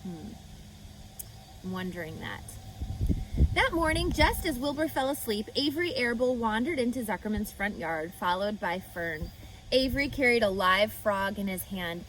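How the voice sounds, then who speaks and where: A woman reading a children's book aloud with expressive, animated storytelling. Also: mid-range voice, normal speed, background noise. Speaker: reader.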